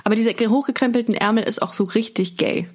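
A woman's voice: a little high, steady tone, fast. Woman chatting casually on a podcast. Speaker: podcast host. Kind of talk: casual humorous podcast chat.

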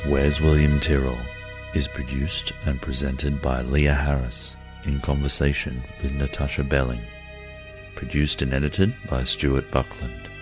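A man's voice: a bass voice, level tone, a little slow, over music. A man reading scripted podcast credits as an announcer.

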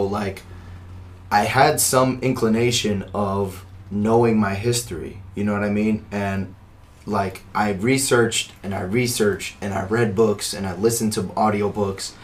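Chill low-voiced guy hosting a podcast, talks history earnestly, bit of hiss. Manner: laid-back, affirming, explains history earnestly. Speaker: podcast host. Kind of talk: casual podcast chat.

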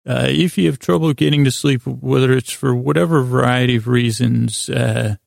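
A man hosting a bedtime podcast in a creaky, gravelly voice, rambling and halting, repeating himself and wandering into absurd asides. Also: mid-range voice, fairly even intonation, no echo, clean studio sound. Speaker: podcast host. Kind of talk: rambling bedtime monologue to help listeners sleep.

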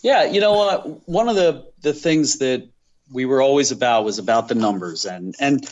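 A man with a mid-pitched voice, talking as an interview guest. He explains things earnestly and with emphasis, through a somewhat dull, lo-fi channel. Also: expressive, moderate pace. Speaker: interview guest, business owner. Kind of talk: conversational interview answers.

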